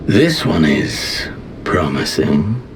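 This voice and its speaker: An actor playing a menacing, bored warlord prince with a slow, imperious manner in a video game cutscene. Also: male voice, extremely low pitch, sing-song, noisy background, full character voice. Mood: cold, disdainful.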